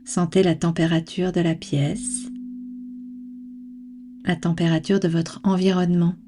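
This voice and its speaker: Calm lady doing a yoga nidra relaxation, lowish voice, really unhurried, soothing with long pauses. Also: noisy background. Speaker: guided meditation instructor.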